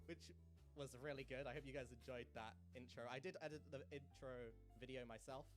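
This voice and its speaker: A man's voice: mid-range voice, steady tone, fairly quick, soft background music. A man with a Cockney accent chatting playfully on a stream, laughing often and teasing his viewers. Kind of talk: casual stream chat.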